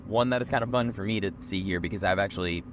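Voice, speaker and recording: Young male co-host, low voice, hoarse and tired, loose rambling chat, car road noise underneath. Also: quick pace. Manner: rambling, self-correcting, chatty. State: hoarse, short on sleep. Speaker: podcast co-host. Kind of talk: casual chat on a road trip. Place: moving car.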